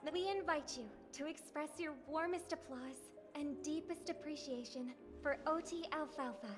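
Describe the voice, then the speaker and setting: Gracious young orator, a woman voicing her, formal and poised, light high voice over game music. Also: moderate pace, close to an everyday voice. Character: gracious young woman giving a ceremonial speech. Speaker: festival speaker.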